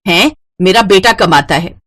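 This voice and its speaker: A woman voicing a frail, doting old mother-in-law in an animated story, fussing and plaintive. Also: medium pitch, normal speed, no echo, studio quality, natural voice. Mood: indignant, doting. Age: older. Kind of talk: animated moral-story cartoon voice acting.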